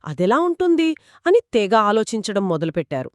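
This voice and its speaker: A woman co-narrating a children's story in a conversational, expressive and engaging style. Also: mid-range voice, normal speed, no room sound, studio quality. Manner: expressive, engaging, acts out characters' quoted lines.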